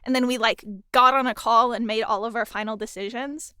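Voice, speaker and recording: A woman interviewing a colleague in a casual, expressive and gushing chat. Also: on the high side, brisk, smooth, no room sound, studio quality. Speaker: interviewer and audio drama colleague.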